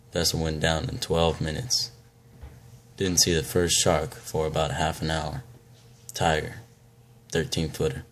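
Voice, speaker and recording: A man performing a film monologue as a grim, weathered old sailor, telling his story deliberately with pauses. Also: deep voice, a little hiss or hum. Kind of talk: dramatic film monologue recitation.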